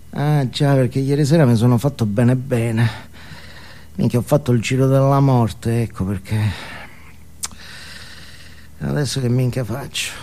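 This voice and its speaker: A man's voice: mid-range voice, creaky, darkish tone. An actor playing a bitter, foul-mouthed male drug addict, ranting crudely in a theatrical comic monologue. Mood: groggy, disgusted. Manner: ranting, crude, swinging from mutter to shriek. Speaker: stage actor performing monologues.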